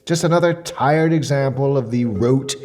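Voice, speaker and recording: An actor playing a fussy, self-important mystery shopper with quiet menace, delivering a precise, deliberate monologue in the form of a report. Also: male voice, medium pitch, noisy background, close to an everyday voice. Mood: disdainful. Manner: pompous, precise, deliberate, dwelling on words. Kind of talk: dramatic monologue as a spoken questionnaire report.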